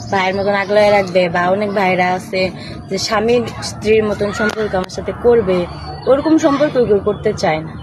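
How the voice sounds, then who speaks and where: A young woman with a Bangladeshi accent giving an earnest, plain-spoken personal account as an interview guest. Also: fairly high pitch. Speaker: interview guest telling her life story. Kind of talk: personal testimony to camera. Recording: outdoor street noise with traffic.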